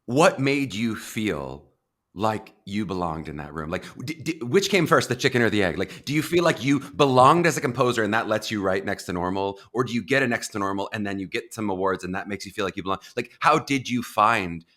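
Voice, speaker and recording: A man's voice: fairly low pitch, animated, brisk, a bit bright. A man hosting a podcast interview, asking long, rambling, eager questions full of fillers. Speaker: podcast host. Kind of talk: casual interview.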